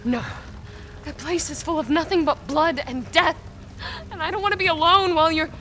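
A woman's voice: extremely high pitch, moderate pace, a bit bright, slight background noise. An actress playing a frightened, grieving young village woman with emotional delivery in a cinematic video game. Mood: fearful, hopeless. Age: young adult.

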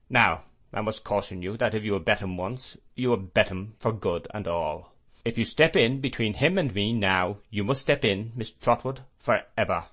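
A man reading an audiobook, voicing a cold, stern gentleman who speaks in a measured, formal way. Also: lowish voice, muffled recording.

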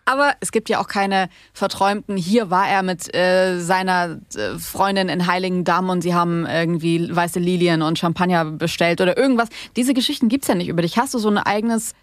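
A woman's voice: lowish voice, brisk, hardly any pauses, no room sound. A woman interviewing casually as a podcast host, talkative, with long run-on questions and remarks.

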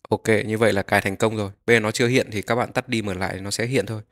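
Low-pitched man giving tutorial steps. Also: fairly even intonation, rapid-fire, fairly bright tone. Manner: instructional, narrating each click as it happens. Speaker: software tutorial host. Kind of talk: step-by-step screen tutorial narration.

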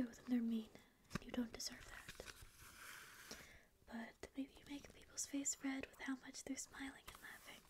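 Woman whispering as a snarky, caring demon. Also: high voice. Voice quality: breathy whisper. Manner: whispered, sarcastic teen-like angst, then soft reassurance. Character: centuries-old sleep paralysis demon, snarky yet caring. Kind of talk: ASMR whispered roleplay. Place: dim indoor room with string lights. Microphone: close studio mic on camera.

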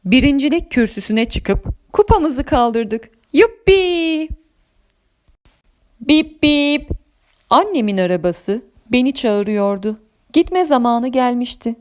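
Woman reading a kids audio story, highish voice, expressive storyteller narration. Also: measured pace. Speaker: children's storyteller.

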